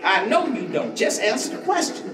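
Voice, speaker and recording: Actor as high-pitched folksy ex-convict, echoey stage. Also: male voice, sing-song, normal speed. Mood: teasing, coaxing. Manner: drawling, chuckling, colloquial, coaxing. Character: folksy, streetwise ex-convict host, persistent and teasing. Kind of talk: staged play reading before an audience. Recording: echoey stage room, distant microphone.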